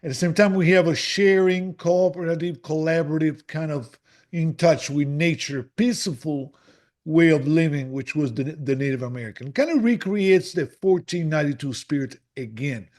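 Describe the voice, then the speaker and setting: A man's voice: on the high side, darkish tone. Enthusiastic man hosting an author interview. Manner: enthusiastic, halting with restarts. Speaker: podcast host.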